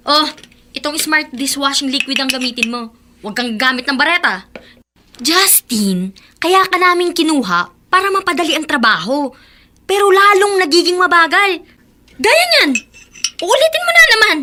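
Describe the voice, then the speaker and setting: A woman's voice: high voice, big pitch swings, moderate pace, pauses often, bright, forceful tone, exaggerated voice. An actress playing a bossy, impatient woman boss who nags and scolds sharply in a radio drama. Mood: scolding. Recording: noisy, clattering dishes behind. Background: clinking dishes and cutlery.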